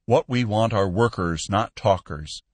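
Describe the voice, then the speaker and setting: A man's voice: lowish voice, measured pace, dry recording. A man reading an audiobook, voicing a cold, stern male office manager who talks in a stiff, complaining way. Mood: indignant.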